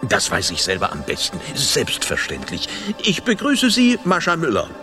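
A man's voice: medium pitch, wildly swooping pitch, normal speed, sharp tone, exaggerated voice. An actor playing a fussy, nervous and pompous mouse king in a children's radio play. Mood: pompous, dismissive. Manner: pompous, exasperated, theatrical. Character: fussy, nervous, self-important mouse king, easily overwhelmed father. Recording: hall reverb, music underneath.

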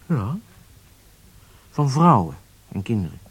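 Husky, animated undercover spy. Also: male voice, medium pitch, heavily acted. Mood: firm, secretive. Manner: deliberate, explanatory. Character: calculating male German undercover spy, affectionate with partner. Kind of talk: Dutch radio drama acting, intimate dialogue.

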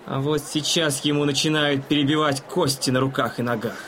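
A male actor is a condescending, blasé gentleman in a radio play, talking down to his companion. His voice is rather high and mannered, with slight ambient noise behind it. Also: fairly even intonation, fairly quick, natural voice. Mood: smug, callous. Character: smug, condescending gentleman spectator courting a young lady. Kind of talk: audio drama acting.

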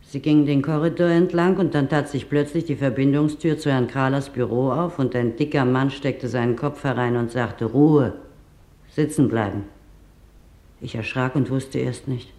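Echoing, mellow witness voice, acted by a man. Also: medium pitch, fairly even intonation, moderate pace, natural voice. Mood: uneasy, subdued. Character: startled office witness recalling a wartime intrusion. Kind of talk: German radio drama witness monologue.

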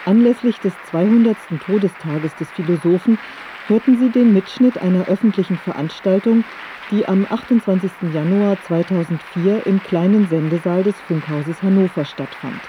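A man's voice: sits high, normal speed. A man reading a scripted closing announcement as a radio announcer. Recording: dull, noisy cassette radio recording.

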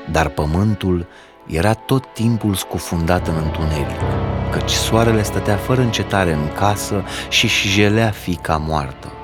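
A man reading a folk tale aloud as a storyteller, in an expressive, dramatic storybook style. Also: sits low.

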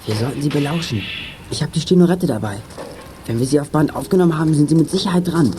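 A man's voice: a little high, quick pace, background noise, lightly acted. A young male actor playing a level-headed boy detective who gives his gang matter-of-fact instructions in a children's radio play. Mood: focused, decisive.

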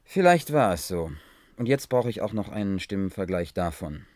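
An actor playing a troubled, earnest investigator in a German science fiction radio drama, with a husky voice. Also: male voice, on the low side, sing-song, moderate pace, darkish tone. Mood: urgent. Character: troubled, restless male investigator, earnest and insistent.

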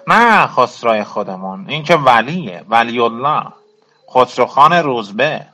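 A man's voice: mid-range voice, sing-song, long pauses, pushed and bright, faint music behind, poor audio. An actor playing a weary, bitter former activist and ex-prisoner, telling his story colloquially and reflectively in a radio drama. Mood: wry, world-weary. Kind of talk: Persian radio drama monologue.

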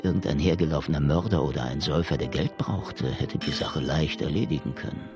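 An actor playing a brooding hard-boiled detective who narrates a noir radio drama in a gravelly, deliberate way. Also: male voice, extremely low pitch. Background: music.

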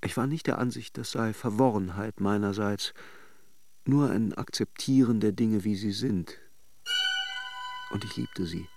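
A melancholic lover in a literary radio drama, reflective and intimate. His low voice has a gravelly creak. He speaks in measured, introspective phrases, tender yet detached. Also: darkish tone, soft background music. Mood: reflective, wistful. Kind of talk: literary radio drama, introspective first-person narration and dialogue.

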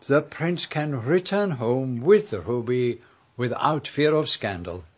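An actor playing a courteous Belgian detective in a radio drama, with a French-speaking Belgian accent and formal, precise, gallant speech. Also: male voice, mid-range voice, lively intonation, takes it slowly, husky. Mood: satisfied, explaining.

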